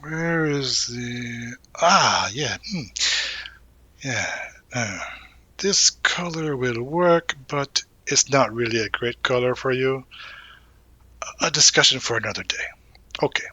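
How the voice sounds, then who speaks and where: A man playing a supportive, gently teasing young college guy in an intimate audio roleplay, talking casually and reassuringly with hesitant pauses and murmured asides. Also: medium pitch, husky, dry recording, exaggerated voice. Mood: gentle, focused. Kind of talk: intimate audio roleplay, one-sided conversation.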